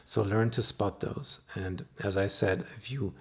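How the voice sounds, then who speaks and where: A middle-aged man whose first language is German, a hypnotist talking to camera in a calm, explanatory and softly persuasive way. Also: lowish voice, measured pace, vocal fry, husky. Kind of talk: solo talk to camera.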